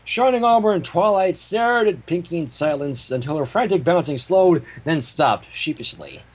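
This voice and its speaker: A man's voice: fairly high pitch, lively intonation, moderate pace, breathy edge. A man reading fan fiction as narrator, with casual asides. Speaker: story reader.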